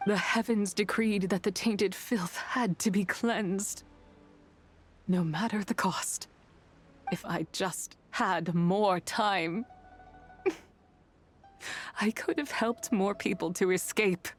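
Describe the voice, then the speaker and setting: A woman voicing a sorrowful, wrathful spirit in a fantasy video game, speaking in a solemn, archaic and measured way. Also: mid-range voice, natural voice. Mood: anguished sorrow. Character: sorrowful, wrathful female spirit remnant finding peace at last. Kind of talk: dramatic video game voice acting.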